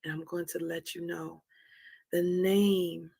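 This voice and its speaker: Female, forties, heavily creaky and husky. Candid motivational speaker, leans on repetition for emphasis. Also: on the low side, flat delivery, takes it slowly, mellow. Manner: emphatic, repeats phrases for effect. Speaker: host of a live talk on anxiety.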